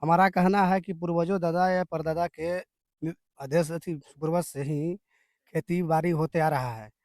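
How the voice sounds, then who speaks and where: A man's voice: fairly high pitch, fairly even intonation, normal speed, a little mellow, no room sound, clean studio sound. A man with a Bihari accent giving an earnest account as an interviewed villager, emphatic and repeating his statements. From Bihar, India. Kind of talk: earnest spoken account to a reporter.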